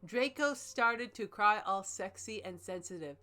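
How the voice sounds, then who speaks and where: Bright-voiced woman reading aloud, unhurried. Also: mid-range voice, lively intonation. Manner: jokey, profane, halting over misspellings. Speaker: co-host reading fanfiction aloud and critiquing it. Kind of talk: casual banter and mock-dramatic reading.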